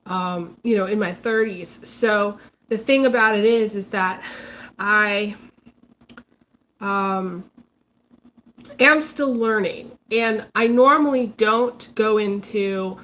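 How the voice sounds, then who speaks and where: A woman's voice: mid-range voice, takes it slowly, long pauses, a bit bright, a little hiss or hum. A congested woman hosting a casual live stream as a psychic reader, talking hesitantly with many ums and restarts. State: congested, recovering from illness. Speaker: psychic reader, channel host.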